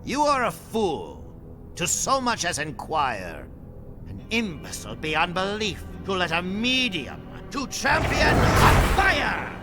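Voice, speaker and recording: Grandiose game villain, theatrical, swooping delivery, slow and menacing, music behind. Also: male voice, sits high, wildly swooping pitch, exaggerated voice. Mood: scornful fury. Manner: pompous, archaic declamation. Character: grandiose menacing male villain, taunting in riddling pronouncements. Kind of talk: theatrical video game cutscene acting.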